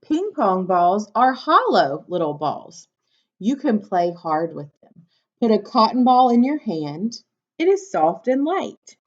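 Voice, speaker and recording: A woman's voice: medium pitch, big pitch swings, slow pace. A woman teacher reading a picture book aloud to young children, instructing and prompting them with questions. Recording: dull, lo-fi sound.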